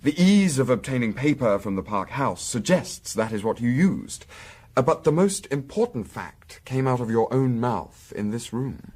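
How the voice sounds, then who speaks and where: An actor playing a discreet, shrewd young Victorian student, speaking in a measured, formal, dryly ironic way in a period audio drama. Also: male voice, mid-range voice, very animated, a little mellow, breathy edge. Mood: cool, incisive.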